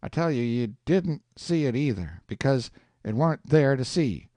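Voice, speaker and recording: Smug lecturing country boy, man reading it, lively up and down, dry close sound. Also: mid-range voice, unhurried, no room sound, close to an everyday voice. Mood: superior. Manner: lecturing, superior, rustic dialect. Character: know-it-all boy, calm and superior.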